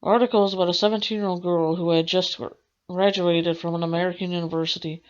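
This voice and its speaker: Dull-sounding recording, low-voiced woman reading aloud. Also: fairly even intonation, quick pace. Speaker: gamer reading a visual novel aloud. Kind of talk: let's play narration, reading on-screen text aloud. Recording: dull lo-fi mic with music behind. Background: game music.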